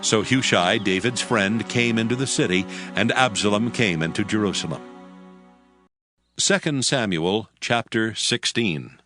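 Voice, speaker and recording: A man reads scripture as a formal narrator. His voice is on the low side and his delivery is measured. Background music is clearly audible beneath him. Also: pushed and bright.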